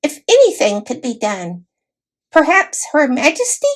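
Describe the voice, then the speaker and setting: A woman voicing a tiny, proud male warrior mouse from a storybook, shrill and pompously courtly. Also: wildly swooping pitch, measured pace, exaggerated voice. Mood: embarrassed, courtly.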